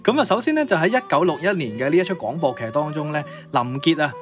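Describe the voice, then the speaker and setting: A man's voice: fairly high pitch, very animated, fast. A man with a Cantonese accent telling music history as a radio co-host, in a conversational, piecemeal way.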